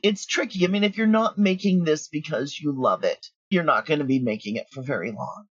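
A woman's voice: deep voice, a little fast, husky, no room sound, lo-fi sound. A middle-aged woman speaking candidly and bluntly as an interview guest, talkative and sure of herself. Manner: blunt, self-assured, talkative. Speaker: guest, audio drama writer and producer.

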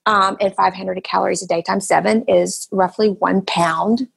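Gravelly, husky woman in her fifties. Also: medium pitch, moderate pace. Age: 50s. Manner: relaxed, explains step by step with many fillers. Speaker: podcast guest. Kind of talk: casual interview chat.

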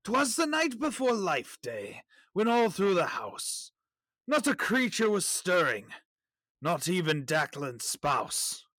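A man playing a theatrical father in an audio drama, telling children a rhyming holiday tale in a grand, drawn-out manner. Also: sits high, wildly swooping pitch, fairly bright tone, dry recording, heavily acted. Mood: grand, playful. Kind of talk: storytelling in rhyme, audio drama.

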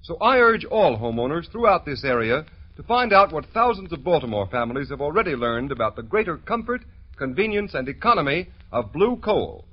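A man's voice: a little high, very animated, a little fast, husky. A man announcing a 1940s radio commercial in an emphatic, salesmanlike manner. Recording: dull old radio recording.

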